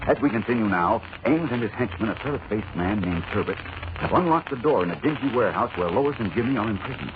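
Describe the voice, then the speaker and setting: A man's voice: on the low side, animated, fast. A man giving dramatic scripted narration as announcer of a 1940s radio serial. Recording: muffled, noisy vintage radio recording.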